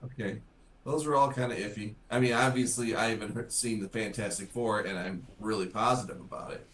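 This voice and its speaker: Male co-host, measured and halting, echoey room sound. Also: fairly low pitch, fairly even intonation, fairly bright tone. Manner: measured, hesitant with fillers. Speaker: podcast co-host. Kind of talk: casual chat.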